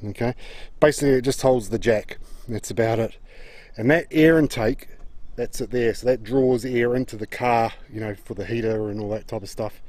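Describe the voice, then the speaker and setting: A man's voice: mid-range voice, normal speed, a little mellow, no room sound. A man giving an unscripted, matter-of-fact walk-around commentary outdoors, plain-spoken and often marking each point with an okay. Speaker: car auction agent presenting a walk-around. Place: outdoors in an open car yard.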